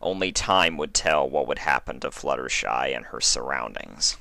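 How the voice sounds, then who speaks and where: Low voiced guy reading a story out dramatically, bright forceful tone, really dry sound. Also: animated, normal speed, vocal fry, no room sound. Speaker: fan fiction reader and narrator. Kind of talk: scripted story narration.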